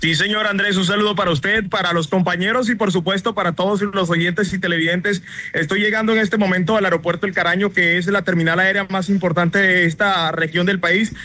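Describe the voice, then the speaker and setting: A man's voice: sits high, steady tone, fairly quick, pushed and bright. A man from La Guajira with a Colombian Spanish accent, giving a formal live news report from the field. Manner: formal broadcast delivery.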